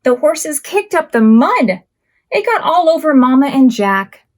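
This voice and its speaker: A woman hosting a preschool storytime as a children's librarian, reading picture books aloud expressively with drawn-out words. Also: high voice. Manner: expressive, drawn-out words and acted sound effects.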